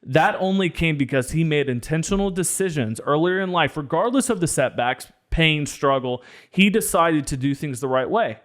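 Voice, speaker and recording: A man's voice: fairly high pitch, animated, normal speed, few pauses. A young man co-hosting a podcast, talking in an earnest, preachy, motivational way. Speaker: podcast co-host. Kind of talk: casual podcast discussion.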